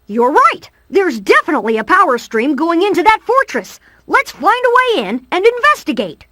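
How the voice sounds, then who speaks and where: High-voiced brainy cartoon boy. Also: wildly swooping pitch, moderate pace, pushed and bright, no echo, clean studio sound, heavily acted. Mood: resolute. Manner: pedantic, matter-of-fact. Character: brainy, skeptical, pedantic cartoon boy genius. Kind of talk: cartoon video game acting.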